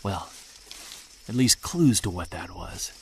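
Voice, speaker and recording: An actor playing an earnest, excitable Bigfoot researcher, musing to himself as he records a field log in an audio drama. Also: fairly low pitch, slow, a little hiss or hum. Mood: chilly, determined. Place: outdoors in wilderness sound setting, handheld recorder.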